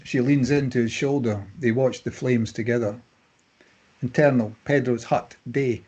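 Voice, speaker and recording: A man reads scene directions as the narrator of a drama script. His voice sits at a medium pitch and has a husky grain. The delivery is scripted narration. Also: steady tone, normal speed, a little mellow. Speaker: narrator reading stage directions.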